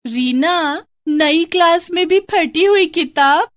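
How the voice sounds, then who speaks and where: A woman voicing a boastful, excitable well-off schoolgirl in a storybook tale, bubbly and showy. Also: extremely high pitch, takes it slowly, dry recording, studio quality, voice pushed up. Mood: mocking. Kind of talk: storybook character dialogue.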